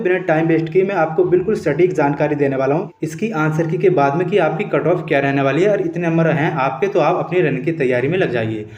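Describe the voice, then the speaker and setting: A man with a high voice presents like an online coaching host. He explains point by point, repeating key details and addressing his listeners often. The recording is dull and echoes around the room. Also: darkish tone. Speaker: host of an exam coaching channel. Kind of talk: explanatory exam-update presentation.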